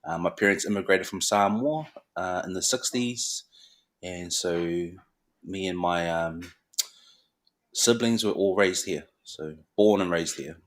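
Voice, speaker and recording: Very deep-voiced New man. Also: unhurried. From New Zealand. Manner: relaxed and humble, with frequent ums. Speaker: guest, barber. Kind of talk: casual interview chat.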